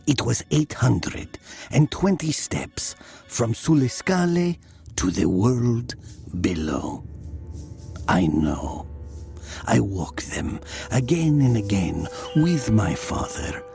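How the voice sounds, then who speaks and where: An actor playing a brooding village man who tells of his past in a measured, theatrical audio drama. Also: mid-range voice, creaky, mellow, full character voice. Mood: haunted, wistful. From southern Italy. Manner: slow, measured, theatrical, with pauses. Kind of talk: dramatic first-person audio drama narration.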